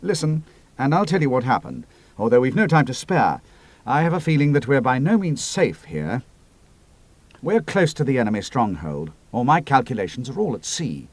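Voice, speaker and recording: A man voicing a brisk, commanding male squadron leader who gives crisp, decisive orders. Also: medium pitch, big pitch swings, no echo.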